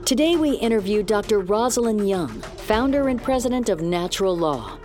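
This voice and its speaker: A woman's voice: medium pitch, animated, moderate pace, darkish tone, soft background music, natural voice. A woman playing a polished news anchor in an audio drama, reading a scripted broadcast introduction. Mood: formal, poised.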